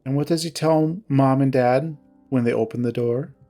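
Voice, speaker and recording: A stern young animal woman in a story, played by a man speaks with curt, deliberate formality. She is wounded and accusing, holding herself tightly, over a bed of music. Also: medium pitch, expressive, dark tone, close to an everyday voice. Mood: bitter, indignant.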